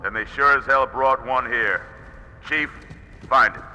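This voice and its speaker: An actor playing a hard-bitten military commander in a video game, brisk and barking orders. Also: male voice, medium pitch, pushed and bright, lo-fi sound. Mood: urgent command. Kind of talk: video game cutscene acting. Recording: echoing hall, battle noise behind.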